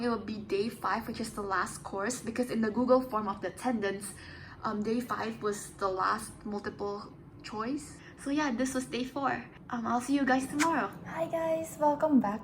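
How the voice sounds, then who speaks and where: A young woman talks through her day in a relaxed vlog, slightly high in pitch and full of casual fillers. A faint hiss of background noise sits under her voice. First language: English. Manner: chatty, filler-heavy explaining. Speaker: vlogger.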